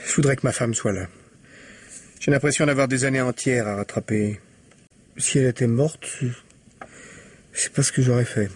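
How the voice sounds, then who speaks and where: Man acting uneasy tired businessman husband, husky mid-range voice, formal and guarded, radio mystery play. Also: medium pitch, moderate pace, long pauses, close to an everyday voice. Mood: weary, uneasy. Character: married male mail-order publisher, uneasy, weary, evasive. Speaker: radio drama actor.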